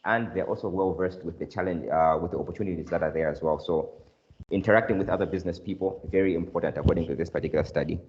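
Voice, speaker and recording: A man hosting a business event, presenting study findings and introducing a guest speaker in a measured way with frequent uh fillers. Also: low voice. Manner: measured, with uh fillers and sort-of phrasing. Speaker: event host. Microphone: video call. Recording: muffled video call audio.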